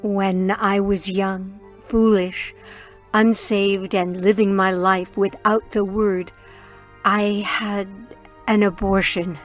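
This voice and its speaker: A woman's voice: mid-range voice, level tone, slow, faint music behind, close to an everyday voice. An actress playing a tender, awed woman newly arrived in heaven, hesitant and earnest, in a radio drama. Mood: remorseful.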